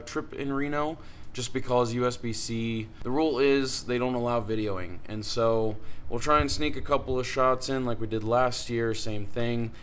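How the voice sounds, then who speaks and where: A man's voice: medium pitch, slight background noise. A man in his twenties from Iowa, talking casually to his phone camera in a hotel room, with an upbeat, chatty manner and lots of fillers. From Iowa, United States. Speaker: vlogger. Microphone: phone held at arm's length.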